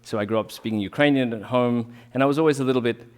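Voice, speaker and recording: A man's voice: medium pitch, fast, a little mellow. Earnest man giving a talk. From Australia. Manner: earnest, reflective, with restarts and fillers. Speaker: talk speaker, rolfer. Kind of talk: informal community talk.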